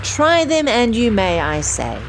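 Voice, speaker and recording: High, animated woman reading a children's story. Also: a little hiss or hum. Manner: slow, sing-song, emphatic delivery for young children. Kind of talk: expressive read-aloud of rhyming picture book.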